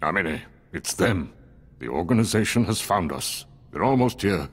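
A man's voice: low-pitched, sing-song, normal speed, a little hiss or hum. An actor voicing a stern, scornful man giving clipped, bitter warnings in a video game. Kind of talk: dramatic game cutscene acting.

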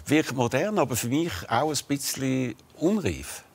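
A man's voice: mid-range voice, lively intonation, gravelly, husky. An older man with a Swiss German accent hosting a TV talk show, asking pointed, provocative questions in a studio. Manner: provocative, challenging, quick follow-ups. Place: dark TV studio, seated at table. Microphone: lapel mic.

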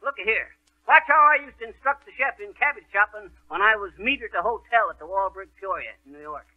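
A folksy blowhard of a husband in 1930s radio comedy, heard on a dull old recording. The voice is very high and lively, full of clumsy bluster and bragging. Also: male voice, fairly quick, a little hiss or hum. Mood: cocky.

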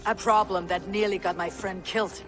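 An actress playing a blunt, resolute Viking warrior woman in a video game, speaking in measured phrases. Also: medium pitch, fairly even intonation, over music, lightly acted. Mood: firm, insistent. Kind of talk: video game cutscene acting.

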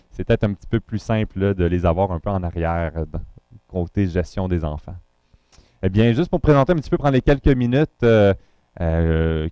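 A man's voice: on the low side, a little mellow, clear, resonant tone, dry recording. A man from Montreal with a Quebec French accent, introducing himself to a congregation as a guest pastor, talking loosely with many fillers and restarts.

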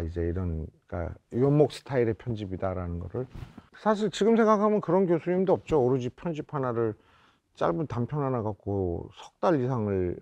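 A man in his fifties from South Korea reminisces as a film director in a reflective interview, often pausing to search for words. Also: mid-range voice, darkish tone, lo-fi sound. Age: 50s. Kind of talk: reflective oral-history interview. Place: indoor interview set before a photo backdrop.

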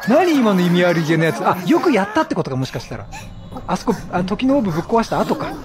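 A man's voice: high-pitched, very animated, fast talker. A man giving casual let's play commentary, chatty and teasing as he reacts to the game. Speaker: video game streamer. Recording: game music behind the voice.

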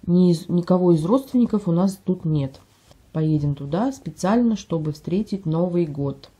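A woman vlogger with a slightly hoarse voice from a cold, chatting casually at home and describing items she holds up for a phone camera. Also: fairly low pitch, lively intonation, moderate pace, dark tone. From Russia. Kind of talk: casual show-and-tell vlog. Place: at home, indoors.

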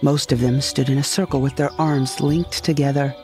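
A woman's voice: rumbling low, fairly even intonation, moderate pace, over loud music. A woman narrating a scripted first-person audio drama as its storyteller.

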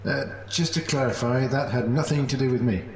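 A man's voice: mid-range voice, fairly quick, natural voice. A man acting an awkward, flirty space station crewman in an amateur audio drama, hesitant and dry. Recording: roomy echo, faint noise.

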